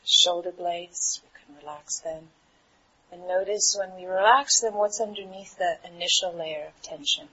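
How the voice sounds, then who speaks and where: A woman meditation teacher guiding a relaxation in a soothing, unhurried manner with trailing pauses. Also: on the low side, sharp tone. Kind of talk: guided meditation. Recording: dull, muffled recording with clear background noise.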